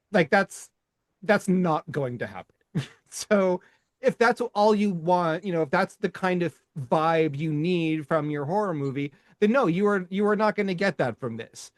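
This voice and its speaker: Excitable, rambling man with a higher voice. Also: measured pace, dry recording. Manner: rambling, many restarts and swearing, excitable. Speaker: podcast co-host. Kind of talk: casual movie podcast chat.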